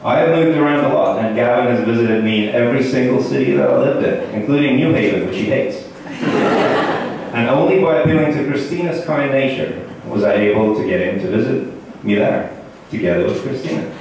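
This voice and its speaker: A man in his thirties whose first language is Russian, giving a joking best man toast from notes into a handheld mic with a deadpan delivery and pauses for laughs. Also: medium pitch. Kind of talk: wedding toast read from notes. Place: indoor banquet hall. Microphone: handheld mic, filmed by a far camera in the audience. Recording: echoey hall from far off, audience noise.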